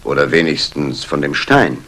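An actor playing a polite, probing police inspector in a 1960s German radio crime play, formal and questioning. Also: male voice, extremely low pitch, very animated, moderate pace, fairly bright tone, full character voice. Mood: businesslike. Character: courteous, probing male Scotland Yard police inspector. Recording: noisy old radio play recording.

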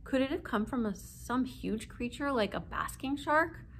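A woman's voice: high-pitched, lively intonation, moderate pace, a little hiss or hum, voice pushed up. A woman voicing a practical, kindly mother of young children in a storybook. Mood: wondering.

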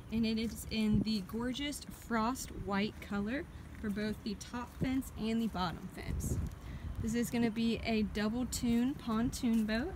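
Chatty saleswoman, kinda high voice, phone vid outside on a boat, noisy lot behind her. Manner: upbeat, chatty sales pitch. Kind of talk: walkaround product tour. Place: outdoors on a boat in a dealer lot. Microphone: phone camera held while walking.

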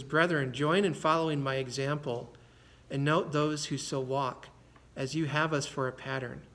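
A man preaching a sermon as pastor, earnest and conversational, often repeating words and laughing softly. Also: on the high side, slight background noise. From United States.

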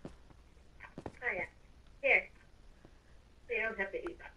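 A woman's voice: mid-range voice, slow pace. A woman chatting casually while playing a Minecraft roleplay, recorded on a distant mic with clicks and noise. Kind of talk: casual in-game chat.

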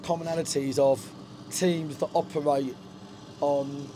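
A man's voice: a little high, flat delivery, unhurried, noisy background. A middle-aged man, a football manager, talking frankly in a post-match interview in a stadium dugout, with lots of fillers and restarts. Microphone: handheld reporter mic.

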